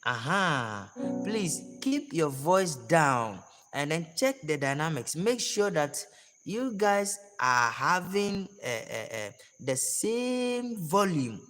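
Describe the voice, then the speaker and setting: A hoarse man giving a relaxed music lesson to singers, repeating his advice in an unhurried, gentle way. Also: a little high. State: hoarse, voice worn out. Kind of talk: casual spoken tutorial. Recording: long reverb with music playing behind.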